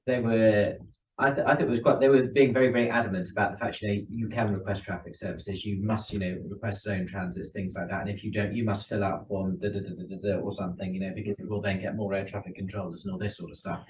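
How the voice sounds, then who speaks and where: Fast-talking man, fairly low voice. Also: fairly even intonation. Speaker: pilot attending the class. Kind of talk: casual, hesitant question from the floor. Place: indoor ground school classroom. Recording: distant, echoey, faintly noisy room recording.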